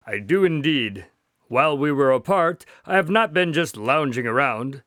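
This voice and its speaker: A man's voice: medium pitch, animated, moderate pace, a bit bright, no echo, clean studio sound, natural voice. An actor playing a genial male doctor who recounts detective adventures in a radio drama, polite and wry. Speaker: storyteller and narrator. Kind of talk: modern radio drama acting.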